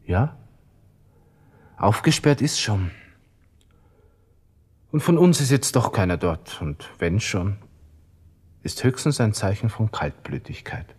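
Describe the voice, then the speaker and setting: An actor playing a brooding, self-pitying young army lieutenant with a Viennese accent, musing and halting in a radio play monologue. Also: male voice, darkish tone, husky, full character voice. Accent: Austrian, Viennese. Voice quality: low-pitched. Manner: musing, halting, talking to himself.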